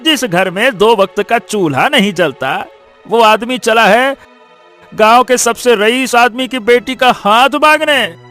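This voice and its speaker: Jeering high-voiced village bully. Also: male voice, sharp tone, soft background music, full character voice. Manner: taunting, drawn-out sarcastic drawl with rustic turns. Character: mocking, bullying rich village man, jeering and haughty. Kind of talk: animated story voice acting.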